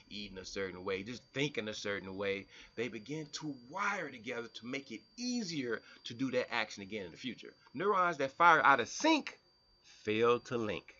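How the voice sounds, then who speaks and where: A man with a bright, forward voice and a very lively, swinging pitch, half reading and half riffing as he explains. He restarts and repeats words as he goes. The recording sounds dull and lo-fi. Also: a little hiss or hum. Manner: animated, breaks from reading to comment and mimic people. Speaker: health vlogger. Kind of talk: reading and explaining science text aloud.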